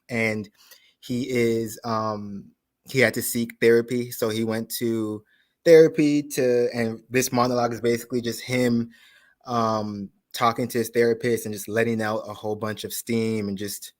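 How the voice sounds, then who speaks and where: A man with a mid-pitched voice speaks on a video call as an auditioning actor. He is polite and agreeable, explaining things in a casual, unscripted way. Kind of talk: casual spoken introduction.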